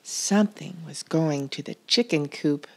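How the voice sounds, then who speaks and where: A woman reading a children's story aloud in a deliberate, expressive storytelling manner. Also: low voice, gravelly. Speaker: storybook reader.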